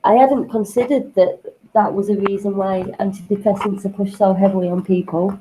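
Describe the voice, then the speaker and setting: A woman co-hosting a casual talk show, sniffly and congested from a cold, speaking thoughtfully with pauses and fillers. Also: mid-range voice, steady tone, background noise. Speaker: co-host.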